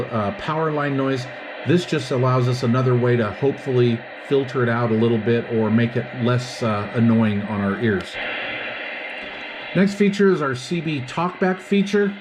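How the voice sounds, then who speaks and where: A man's voice: medium pitch, background noise. A man giving a casual hands-on product review, explaining things step by step.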